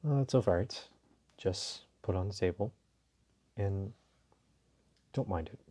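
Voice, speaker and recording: A man playing a suave, dominant, flirtatious mafia boss in an intimate audio roleplay, courteous and teasing, with a low husky voice. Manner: slow, teasing, courteous, softly chuckling.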